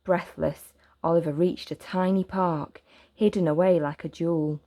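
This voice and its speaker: A woman reading a children's story aloud as narrator, with gentle, expressive, deliberate phrasing. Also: fairly low pitch, no room sound.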